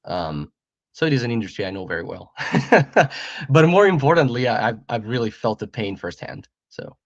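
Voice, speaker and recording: A man's voice: medium pitch, big pitch swings, normal speed, dry recording. A man from Greece, around forty, a startup co-founder telling his story in an interview, friendly and repeating his words as he goes. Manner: friendly, repeats words, laughs between points. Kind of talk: interview conversation. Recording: dry muffled remote call audio.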